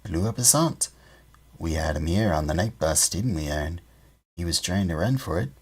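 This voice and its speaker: A man voicing a chatty young male bus conductor, loud and eager in his gossip. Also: deep voice, lively intonation, breathy edge. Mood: gossipy. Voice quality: loud, eager, talks in rapid bursts.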